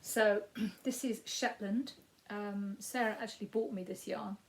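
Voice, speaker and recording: A woman in her fifties with a Yorkshire accent and a croaky voice, giving a rambling, casual crafting update with frequent ums. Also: mid-range voice, fairly even intonation, a little slow, low-quality recording. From Yorkshire, England. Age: 50s. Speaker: crafting podcast host.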